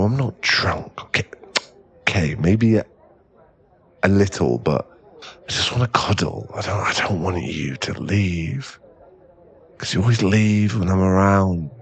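Man acting a husky, low-voiced tipsy boyfriend. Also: full character voice. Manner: tipsy, halting, drawn-out, pleading delivery. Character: drunk, lovesick young millionaire boyfriend, clingy and playful. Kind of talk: intimate ASMR boyfriend roleplay.

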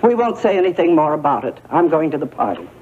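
A woman's voice: low voice, big pitch swings, quick pace, dark tone, a little hiss or hum. An older actress playing a stern, disapproving woman in a soap opera, admonishing and insistent. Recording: muffled 1980s TV tape, music behind.

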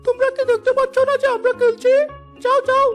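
A woman plays a curt little boy in a children's cartoon. The voice is extremely high but flat and dismissive, with light music behind. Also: quick pace. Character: rude, dismissive little human boy at play.

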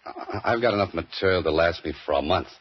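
A man's voice: deep voice, fast talker, breathy edge, no room sound. An actor playing a cool, dry-witted male mystery writer who sleuths, in 1940s radio drama style. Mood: wry. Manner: dry, wisecracking. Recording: muffled 1940s radio broadcast.

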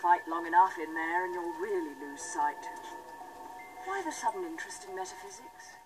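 A woman's voice: fairly low pitch, animated, moderate pace, lightly acted, poor audio. An actress playing a cool, dry-witted woman in a video game cutscene. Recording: game cutscene over music.

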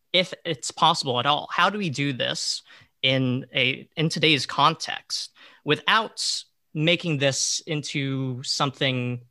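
A young man moderating a reflective panel discussion in a deliberate, questioning way. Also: mid-range voice, bright, forceful tone. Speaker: panel moderator and play adapter.

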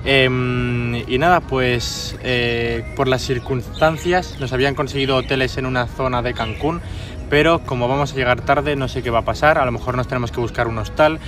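A man's voice: mid-range voice, steady tone, moderate pace, music in the background. A young man from Spain talking casually to his phone camera outdoors, calling his viewers "gente" and "chavales". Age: 20s. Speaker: travel vlogger. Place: outdoors near an airport, by a parking lot. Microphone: handheld phone camera at arm's length.

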